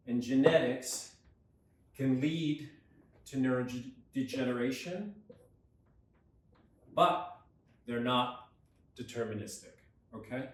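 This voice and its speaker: A man coaching, explaining a lesson in a conversational way and stressing key words. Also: mid-range voice. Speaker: neuroperformance coach. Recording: roomy echo, mic at a distance.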